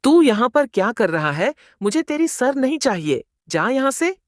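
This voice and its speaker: Threatening witch, high pitch, animated swoops, commanding, horror tale, dry sound. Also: normal speed, no room sound. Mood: menacing. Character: menacing head-hunting witch of Hindi horror tale. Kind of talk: dramatized horror story acting.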